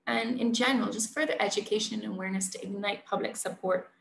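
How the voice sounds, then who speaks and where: Woman, 30s, earnest scripted presenter, some vocal fry, roomy sound. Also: mid-range voice, fairly even intonation, quick pace. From Barbados. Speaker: conservationist and presenter. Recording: roomy echo, light background noise.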